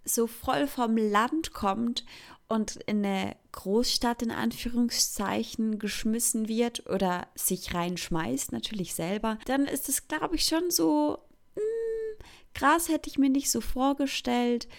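A woman's voice: a little high, slow pace, gravelly. A young woman from Thurgau with a Swiss German accent that is partly Zurich, talking candidly about her own life in a casual vlog. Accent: Swiss German, Thurgau mixed with Zurich. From Thurgau, Switzerland. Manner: candid, chatty, self-mocking. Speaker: vlogger. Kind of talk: casual personal monologue.

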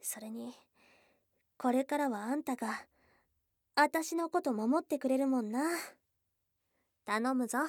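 A woman's voice: sits high, unhurried, long pauses, dry recording, studio quality. A woman voicing a shy, blunt young goblin girl in love, in an intimate ASMR voice drama with tomboyish, affectionate speech. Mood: loving, giddy. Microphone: close dry studio mic.

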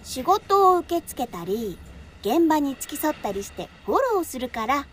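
A persuasive teenage girl voiced by a woman in a radio play, high-pitched and animated. She coaxes with eager, insistent confidence. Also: moderate pace, slight background noise. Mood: pleading. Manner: bright insistence, coaxing. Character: eager, persuasive high school girl, self-appointed manager. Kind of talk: audio drama acting.

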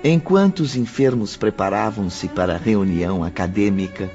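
A man narrating a radio drama from a script in a deliberate, dramatic way. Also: on the low side, darkish tone, muffled recording, faint music behind.

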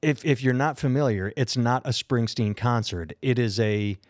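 A man's voice: mid-range voice, normal speed, dry recording, clean studio sound. An American man co-hosting a podcast, telling stories in a rambling, enthusiastic way. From United States. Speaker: podcast co-host. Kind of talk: casual podcast chat.

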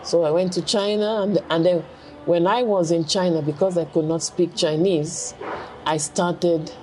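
A woman's voice: lowish voice, fairly even intonation, unhurried, dark tone, faint music behind. A middle-aged woman from Cameroon telling her personal story as an interview guest, speaking in short, emphatic phrases. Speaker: podcast guest, former teacher and coach. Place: busy convention center, recorded on location. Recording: crowd chatter in busy hall. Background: crowd noise.

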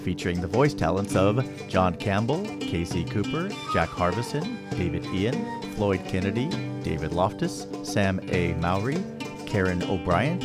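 Man acting lively jovial fantasy trailer narrator. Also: deep voice, measured pace, over loud music. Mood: announcing. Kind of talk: scripted promotional narration.

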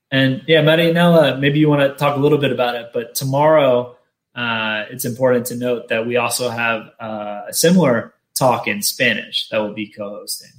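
A man hosting an informational webinar, explaining steps in a steady, matter-of-fact way. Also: medium pitch. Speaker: webinar host, business consultant. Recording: roomy echo.

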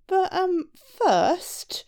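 A woman's voice: very high voice, big pitch swings, very slow, a little mellow, pitched above the performer's own voice, exaggerated voice. A woman voicing a cunning, boastful little male shoemaker in a storybook tale, with a cocky, emphatic manner.

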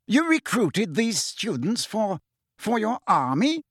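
Man putting on a blustering, high-pitched old government minister. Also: very animated, slow pace, fairly bright tone, slightly rough, no room sound, clean studio sound, exaggerated voice. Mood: stunned disbelief. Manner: exclaiming, stammering, repeating words. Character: blustering, excitable older male government minister, gleeful and outraged. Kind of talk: audiobook character voice.

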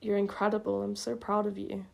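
A woman reading a gentle, devoted young man from a romance fan fiction, softly and earnestly, with a creaky voice. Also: medium pitch, little pitch movement, brisk. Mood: tender. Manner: soft, earnest, tender.

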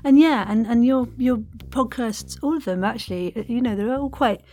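A woman's voice: fairly high pitch, normal speed, dark tone. A woman hosting a podcast in an effusive, playful and theatrical way, chatting casually and enthusiastically. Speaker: podcast host and interviewer.

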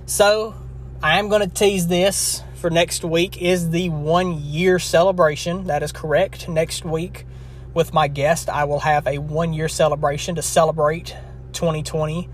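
A man of twenty-one hosting his own podcast in a casual, rambling, earnest way, his throat scratchy from a long session. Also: a little high. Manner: rambling, earnest, with frequent restarts. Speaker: podcast host. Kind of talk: casual solo podcast wrap-up.

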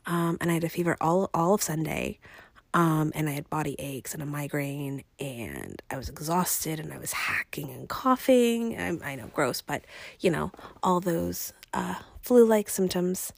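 A young woman podcast host chatting casually and ramblingly, her voice raspy and congested as she recovers from illness. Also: low voice, expressive, normal speed, no echo. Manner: rambling, conversational. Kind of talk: casual personal podcast chat.

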